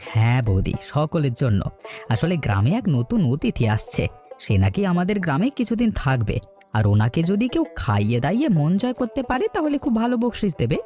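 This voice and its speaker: A man plays a cheerful singing villager from a cartoon folk tale. His voice is warm and mellow and moves up and down in a very lively way. He chats in a friendly sing-song as he passes rumours along. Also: on the high side, a little fast, faint music behind. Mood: cheerful, bashful.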